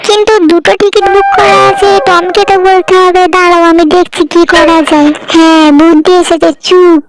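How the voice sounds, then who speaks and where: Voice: very high voice, flat delivery, normal speed, low-quality recording. A performer voicing a small, cheeky, scheming mouse in a cartoon dub, chattering and playful. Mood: excited scheming.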